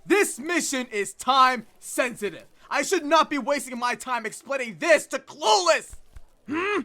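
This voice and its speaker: A woman's voice: sits high, lively intonation, measured pace, sharp tone, heavily acted. A woman doing a pompous squawking bird. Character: loud bossy male bird guild officer.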